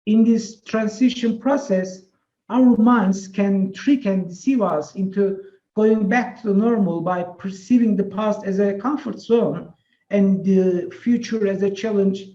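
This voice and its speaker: A man whose first language is Turkish, an associate professor giving a measured, prepared webinar talk with some fillers. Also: high voice, warm tone, reverberant, highs cut off. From Turkey.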